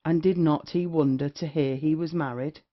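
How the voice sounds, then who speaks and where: A performer playing a witty, lewd, cynical gentleman rake in an audio play of a period comedy. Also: medium pitch, steady tone, normal speed, natural voice. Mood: brisk. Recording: dull, lo-fi audio.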